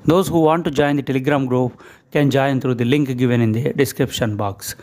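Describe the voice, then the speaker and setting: A man with an Indian English accent, a shorthand instructor reading a news passage aloud in short, measured phrases for dictation practice. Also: medium pitch, fairly even intonation, a little mellow.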